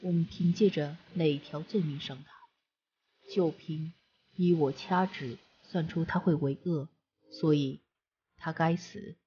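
A woman playing a severe, cold-minded elder male immortal who speaks in a measured, accusing way, in an audio drama. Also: low voice, fairly even intonation. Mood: stern. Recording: dull lo-fi recording with faint noise.

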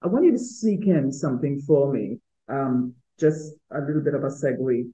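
A woman's voice: a bass voice, animated, normal speed, dark tone. A woman, interviewing casually as host over a video call. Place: room at home on a video call. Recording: dull video-call audio.

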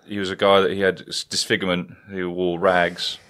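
A man's voice: sits low, completely flat delivery, fairly quick, fairly bright tone, smooth. A man, hosting a casual chat in a wood-panelled study and swearing freely as he rambles through stories. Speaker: podcast host.

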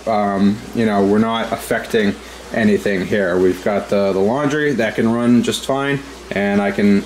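A man's voice: mid-range voice, a little hiss or hum, low-quality recording. A man hosting an aquarium hobby video, talking casually with lots of ums while filming a walkthrough of his home basement. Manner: relaxed and chatty, many ums and uhs. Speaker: aquarium hobby channel host. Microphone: handheld camera, speaker off-screen.

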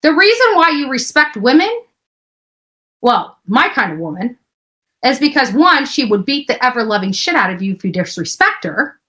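High-voiced brash woman ranting. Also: big pitch swings, moderate pace, pushed and bright. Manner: brash, profane, emphatic. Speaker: livestream host. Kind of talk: casual livestream rant. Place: small indoor room at home.

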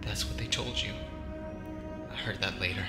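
A man playing a gentle long-lost grown son, earnest and pleading, in an audio drama. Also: fairly low pitch, steady tone, normal speed, natural voice. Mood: sorrowful, earnest. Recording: echoey voice under loud music.

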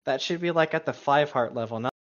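A man's voice: medium pitch, quick pace. A man with a mid-range voice chats casually as a co-host, tossing out wisecracks and swearing. The recording is a little dull and lo-fi. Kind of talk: casual joking chat.

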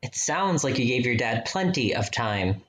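An actor playing a gentle, supportive young man in a radio play, conversational and reassuring. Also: mid-range voice, sing-song, moderate pace. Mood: concerned.

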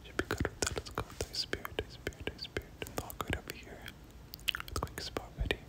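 Whispering ASMR gamer, breathy and unvoiced, prone to looping short phrases while narrating play. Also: fairly quick. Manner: repeats words and short phrases over and over. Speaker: gaming video host. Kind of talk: whispered ASMR game commentary.

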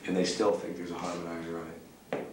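Brief: young man, very deep monotone voice, blunt and profane, echoey room on a distant camera mic. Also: fast, background noise. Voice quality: very low voice. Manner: blunt, profane, laid-back drawl. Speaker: band member being interviewed. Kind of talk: casual backstage interview. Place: cramped backstage room indoors. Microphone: far camera mic.

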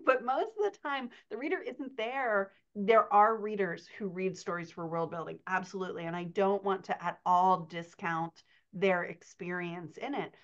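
A woman's voice: medium pitch, normal speed. A woman in her thirties, a writing coach and editor, chatting on a podcast over a video call, laughing often and telling stories with animation. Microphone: computer mic on video call. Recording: slightly dull lo-fi call audio.

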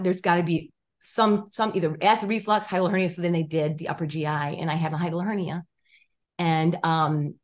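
A woman in her fifties, a guest on a video call, telling her story with lively, restarting sentences and acted-out quotes, gesturing as she talks. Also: on the low side. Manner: animated storytelling with many restarts and quoted voices. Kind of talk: casual interview chat. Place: home room with stone wall, indoors.

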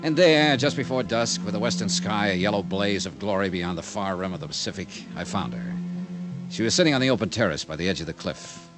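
An American actor playing a shrewd, wry insurance investigator in a 1950s radio detective serial, on a noisy old recording. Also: male voice, lowish voice, expressive, brisk, loud music behind the voice, lightly acted. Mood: scene-setting narration. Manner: wry, probing. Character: shrewd, dry-witted male insurance investigator. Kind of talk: 1950s radio detective acting, with first-person narration.

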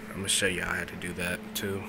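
A man giving a casual, unscripted step-by-step tech tutorial, speaking informally with hesitant pauses, recorded indoors on a handheld camera. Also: sits low, monotone, vocal fry, heavy background noise. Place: indoors, small dim room at a desk setup. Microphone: handheld camera or phone mic.

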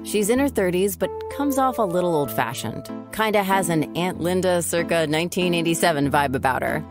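A woman acts as a frank podcast host narrating an investigation. Her voice is on the low side, with clear background music. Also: animated, a little fast. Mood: matter-of-fact.

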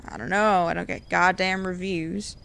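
A woman playing a gruff, stubborn young male deputy in a Western audio drama, curt and grumbling. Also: lowish voice, fairly even intonation, normal speed, fairly bright tone. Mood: exasperated.

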